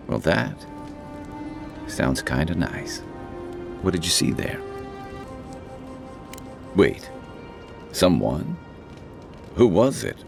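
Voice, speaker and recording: Very deep-voiced wry fantasy companion. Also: male voice, gravelly, full character voice. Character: gruff, wry, loyal male fantasy travelling companion. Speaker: game companion character. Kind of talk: video game dialogue.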